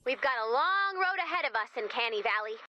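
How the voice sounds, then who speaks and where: A woman voicing an excitable, upbeat robot companion in a video game, with a theatrical, emphatic and enthusiastic delivery. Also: on the high side, a little fast, no echo. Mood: eager, resolute. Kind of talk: animated video game voice acting.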